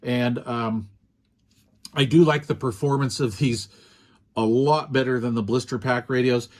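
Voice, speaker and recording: A man's voice: mid-range voice, fairly even intonation, a little slow, darkish tone. Easygoing man rambling through a demo. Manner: easygoing, rambling, frequent ums and uhs. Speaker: radio product reviewer. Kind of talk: casual hands-on product demo.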